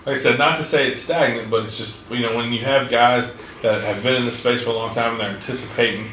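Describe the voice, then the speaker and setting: A man's voice: mid-range voice, fairly even intonation, quick pace, fairly bright tone. A man in his forties talks casually, swearing and ribbing his friends. His voice sits back from the mic and rings in a roomy space, with faint noise underneath. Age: 40s. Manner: chatty, profane, teasing. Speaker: podcast host. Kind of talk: casual chat. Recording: echoey room, mic at a distance.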